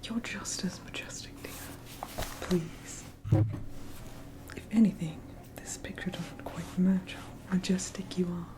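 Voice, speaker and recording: A woman playing a tender, teasing early twentieth-century sweetheart in an ASMR roleplay, speaking in a breathy whisper with a gentle, coaxing, playfully bossy manner. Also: lowish voice, steady tone, slow pace, pauses often. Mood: coy, tender.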